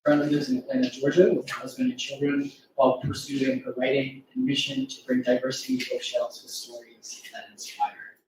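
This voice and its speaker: A man's voice: mid-range voice, flat delivery, brisk, hardly any pauses, darkish tone. A man hosting an event, introducing a guest in a formal, prepared way. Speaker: event host introducing an author. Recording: very echoey room, distant mic, faint noise.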